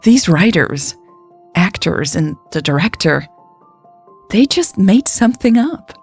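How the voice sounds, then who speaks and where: A woman narrating a scripted first-person story in a reflective, confiding, measured manner. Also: medium pitch, big pitch swings, slightly rough, soft background music. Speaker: narrator of a romantic story podcast.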